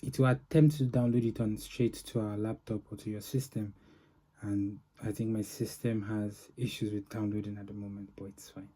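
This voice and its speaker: A man giving an informal software demo as a developer, narrating in halting phrases with pauses. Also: lowish voice, little pitch movement. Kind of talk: informal product demo walkthrough.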